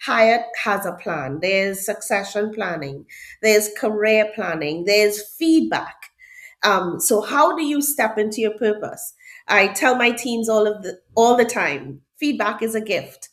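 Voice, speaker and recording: A woman's voice: medium pitch, animated, a little slow. A middle-aged woman with a Trinidadian accent, a hotel HR director answering interview questions in an emphatic way, often repeating key words for stress. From Trinidad and Tobago. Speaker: hotel HR director, podcast guest.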